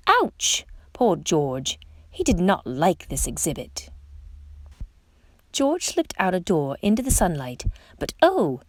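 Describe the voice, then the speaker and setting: A woman reading a children's picture book aloud as a storytime narrator, with expressive emphasis on questions and exclamations. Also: medium pitch, no room sound. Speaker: storytime reader.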